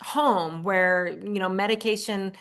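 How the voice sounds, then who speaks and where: A woman's voice: mid-range voice, steady tone, slow pace, fairly bright tone, a little hiss or hum. Earnest middle-aged woman, podcast chat. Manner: earnest, talks in affirmations, frequent restarts. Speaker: podcast guest and host of her own show. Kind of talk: casual podcast conversation.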